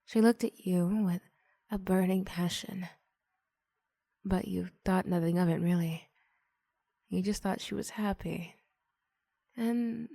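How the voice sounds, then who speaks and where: A woman narrates an ASMR story at a very slow, lingering pace. Her voice is dark and relaxed, spoken softly and often dropping close to a whisper. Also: medium pitch, vocal fry, no echo. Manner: soft, often near-whispered. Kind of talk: soft second-person ASMR story narration.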